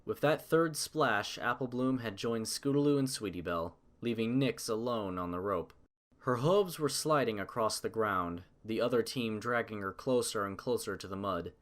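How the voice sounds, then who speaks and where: Male narrator, fairly low pitch, scripted storybook prose. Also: animated, normal speed, a bit bright.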